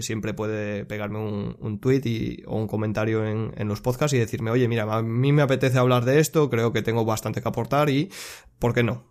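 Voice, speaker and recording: Guy hosting a chill podcast, lots of ehs and restarts, mid pitch. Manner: relaxed, hesitant with many fillers and restarts. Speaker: podcast host. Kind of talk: casual podcast chat.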